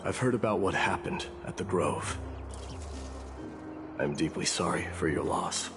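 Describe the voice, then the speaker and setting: An actor playing a stoic warrior prince and demigod in a fantasy game, speaking in a formal, grave, measured manner. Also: male voice, low voice, animated, over music. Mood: courteous, grave.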